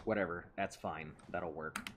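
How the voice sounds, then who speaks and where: A man's voice: low voice, fairly even intonation, a little slow, noisy background. A man giving casual, playful commentary while playing a video game, rambling and chatting with someone in the room beside him. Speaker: let's play host.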